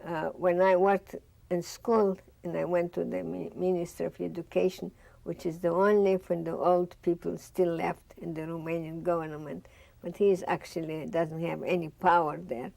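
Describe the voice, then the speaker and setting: Older woman, Russian accent, low gravelly voice, storytelling interview, dry close sound. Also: flat delivery, normal speed, a little mellow, no room sound. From Bessarabia. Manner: reflective storytelling, acts out remembered dialogue. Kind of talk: oral history interview. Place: studio with dark curtain backdrop, seated.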